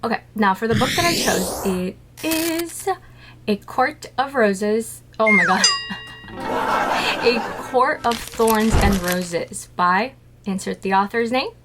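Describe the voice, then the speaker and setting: A woman's voice: on the high side, lively intonation, slow, over loud music. A woman talking to camera about her tarantulas, chatty and playful, asking herself questions and then answering them. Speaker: tarantula keeper vlogger. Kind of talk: casual scripted talk to camera.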